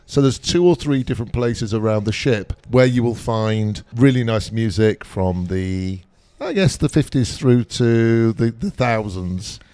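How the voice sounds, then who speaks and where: A man's voice: medium pitch, measured pace, warm tone, clear, resonant tone, no room sound. An older man co-hosting a travel podcast, talking in a chatty, enthusiastic way. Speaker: podcast co-host. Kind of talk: casual podcast chat.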